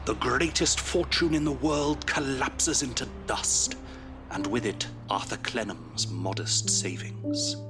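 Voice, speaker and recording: A man's voice: mid-range voice, slow pace. A man narrating an audio drama in a dramatic, scripted storytelling style. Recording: music behind the voice.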